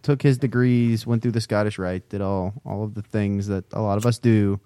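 A man's voice: on the low side, steady tone, normal speed, mellow, smooth, dry recording, clean studio sound. A man co-hosting a podcast in casual chat, with wry, sarcastic ribbing. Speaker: podcast co-host.

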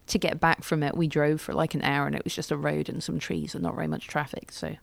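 Woman, podcast co-host, wry chatty delivery, gravelly low voice. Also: level tone, fast, dry recording. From United Kingdom. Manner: chatty, wry, self-deprecating. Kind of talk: casual chat.

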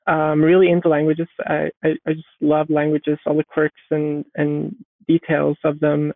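A woman answers interview questions in a thoughtful way, circling back and restarting her sentences as she searches for the right words. Her voice comes through a narrow, phone-like remote line. The sound is dry, with no room echo at all. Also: low voice. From Sweden. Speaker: guest, software developer.

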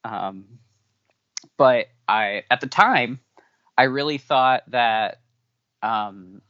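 A man whose first language is not English answering interview questions candidly, halting with many fillers and restarts. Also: medium pitch, fairly bright tone, dry recording. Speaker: podcast guest. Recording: dull lo-fi audio.

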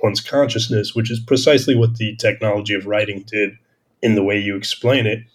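A man's voice: on the low side, steady tone, a little fast. A man speaking as a podcast host in an extended intellectual discussion, academic and discursive, searching for words and repeating phrases as he stresses key terms. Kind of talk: extended intellectual discussion, answering listener questions.

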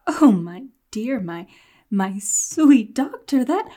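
Covetous henchwoman, very high pitch, slow insinuating delivery, fawning, theatrical, audio drama. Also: sing-song, warm tone. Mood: covetous awe.

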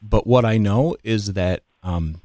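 An American man, a podcast guest, telling his personal story in conversation in a measured, deliberate way with pauses. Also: on the low side, dark tone, no echo, studio quality.

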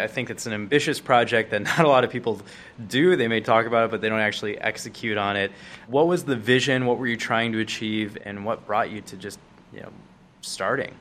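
Low-voiced interviewer with faint hiss. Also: male voice, fairly bright tone. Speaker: interview host. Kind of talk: podcast interview.

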